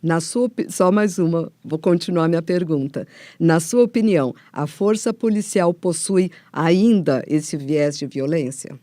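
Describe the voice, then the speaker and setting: A Brazilian woman presents in a soft-toned, mellow voice with very little room sound. She reads scripted documentary narration and puts questions as an interviewer. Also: lowish voice, expressive, a little slow, hardly any pauses, dry recording, studio quality.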